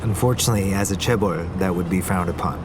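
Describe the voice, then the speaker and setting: A man playing a young Korean business heir, a sincere and gently teasing suitor in a romantic audio drama. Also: sits low, fast talker, noisy background, close to an everyday voice. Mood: polite, dry.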